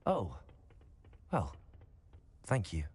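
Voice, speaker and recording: Low-voiced polite student wizard. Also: male voice, wildly swooping pitch, a little mellow, husky, exaggerated voice. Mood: modest, reserved. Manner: polite, measured, understated. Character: polite, composed teenage student wizard, modest and keen. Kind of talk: video game character acting.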